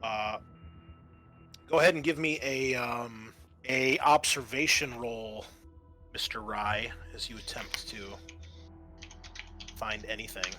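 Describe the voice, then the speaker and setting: A man working as game master, narrating a roleplaying session in a halting, conversational way. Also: medium pitch, steady tone, pushed and bright, faint music behind.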